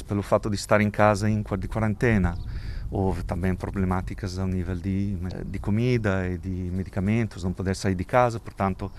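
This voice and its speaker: A man from Italy speaking with an Italian accent, his voice on the low side. He talks haltingly and restarts often, thinking aloud, while a faint outdoor hum sits behind him. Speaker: community educator. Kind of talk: street interview. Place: outdoors in a residential neighbourhood.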